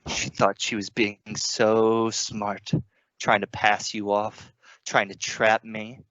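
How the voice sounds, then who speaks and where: A man acts out a sinister stepfather from an old radio thriller. His voice is low and sharp, and he draws out each phrase with suspicious, insistent menace. The sound is dry and a little dull. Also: flat delivery, no room sound. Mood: accusing, menacing. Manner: slow, deliberate, insistent. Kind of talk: old-time radio suspense acting.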